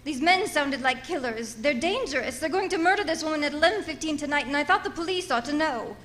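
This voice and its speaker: A woman's voice: high-pitched, animated, fast, a bit bright. An actress playing an imperious, frightened married society woman in radio melodrama, agitated, haughty and prone to stammering. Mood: flustered. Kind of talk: old-time radio melodrama acting.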